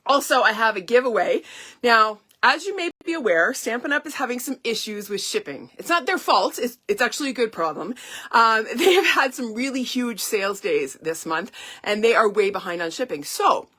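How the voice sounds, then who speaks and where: A middle-aged woman from Canada hosting a casual live stream, chatty and upbeat, her voice a little hoarse and stuffy from illness. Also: on the high side, expressive, normal speed, bright, forceful tone. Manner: chatty, upbeat, frequent laughs and ums. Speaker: independent stamping demonstrator hosting a live launch.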